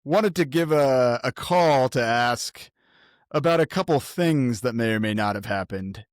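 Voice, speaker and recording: A man playing a glib, pushy male government agent on a phone call in a tabletop game, halting yet breezily familiar. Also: mid-range voice, expressive, no room sound, clean studio sound, natural voice. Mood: glib, persuasive.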